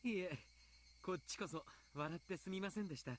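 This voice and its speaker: An actor playing a jovial, kindly adult coach in a visual novel, polite, chatty and playfully teasing. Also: male voice, sits high, very fast. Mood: gently concerned. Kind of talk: Japanese visual novel voice acting.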